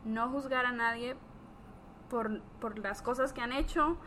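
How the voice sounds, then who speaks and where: A woman in a casual discussion, explaining earnestly as a co-host and pausing between phrases. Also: fairly high pitch, sharp tone, slight background noise. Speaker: therapist, podcast co-host.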